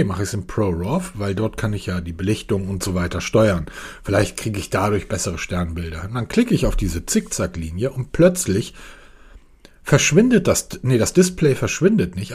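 A man's voice: on the low side, mellow. A man from Germany, hosting a casual tech podcast while he has a cold, telling long enthusiastic stories full of restarts. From Hamburg, northern Germany. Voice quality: husky. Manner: rambling, enthusiastic storytelling with restarts. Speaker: podcast host.

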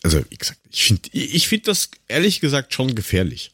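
A man's voice: medium pitch, huge pitch swings, brisk, a bit bright, breathy edge, no echo, studio quality. A man chatting casually as co-host of a podcast.

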